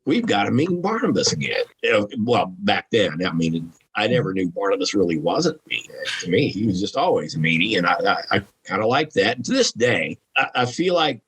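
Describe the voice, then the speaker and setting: A man's voice: fairly low pitch, very animated, fairly quick, a bit bright, slightly rough, poor audio. A man talking casually and frankly as a podcast guest, with salty, informal phrasing. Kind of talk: casual conversational commentary.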